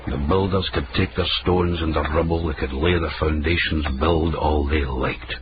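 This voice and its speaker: Extremely deep, solemn brooding man. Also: fairly even intonation, moderate pace. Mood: grave. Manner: solemn, declarative. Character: grave, brooding man musing on an unchanging city. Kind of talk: period radio drama monologue. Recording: muffled, very noisy vintage radio recording.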